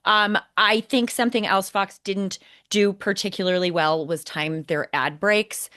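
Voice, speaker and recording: A woman's voice: medium pitch, steady tone, unhurried, bright, forceful tone. A woman co-hosting a motorsport podcast, giving emphatic, critical commentary and explaining point by point. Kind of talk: opinionated podcast commentary on race broadcasts.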